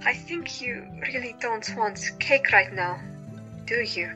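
A woman's voice: on the high side, slow, music in the background, low-quality recording. An actress playing a joyous, caring woman doctor in a period audio drama, cheerful and coaxing. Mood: tender, teasing.

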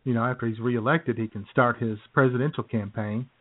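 A man co-hosting a radio show, trading sardonic political jokes in a halting, casual way. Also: mid-range voice, fairly even intonation. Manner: sardonic, jokey, halting with fillers. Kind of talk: political comedy chat. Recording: phone-like lo-fi sound.

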